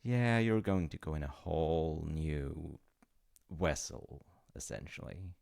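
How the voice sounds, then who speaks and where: A flustered, chatty demigod of reincarnation, acted by a man, speaking straight to the listener in a comic roleplay. The voice is on the low side with a gravelly fry, and it swings animatedly up and down. The delivery is unhurried and halting, full of sheepish apologies and salesman-like coaxing. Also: expressive, darkish tone, heavily acted. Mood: awkward, apologetic. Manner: awkward, stammering, apologetic salesman-like patter. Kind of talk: comedic audio roleplay addressed to the listener.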